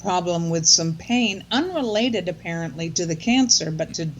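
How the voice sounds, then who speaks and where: A woman's voice: on the low side, animated, moderate pace, highs cut off, slight background noise. An older woman as a guest in a casual chat, speaking in a thoughtful, reflective way.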